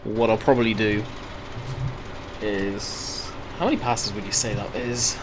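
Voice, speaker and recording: A man with a slightly croaky voice giving rambling, chatty gameplay commentary, often correcting himself mid-sentence. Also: fairly low pitch, fairly even intonation, unhurried, background noise. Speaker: gaming video host.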